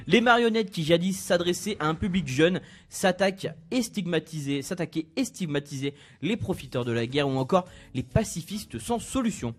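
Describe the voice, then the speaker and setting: Husky young man reading a radio column. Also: sits high, animated. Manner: brisk, informative, laughs easily. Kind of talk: reading a culture events column.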